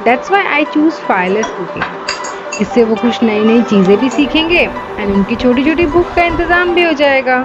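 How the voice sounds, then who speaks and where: Woman, voice-over, fairly high voice, chatty and explanatory, music behind. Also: animated, unhurried, muffled recording. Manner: chatty, explanatory, mixes Hindi and English. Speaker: voice-over narrator. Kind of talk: voice-over commentary.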